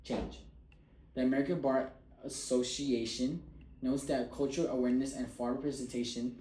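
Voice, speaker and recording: A man gives a prepared persuasive speech in an earnest, emphatic way. His voice is dark and mellow, with an average pitch. The room around him is roomy and echoey. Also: fairly even intonation, normal speed, a little hiss or hum. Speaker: student giving a speech.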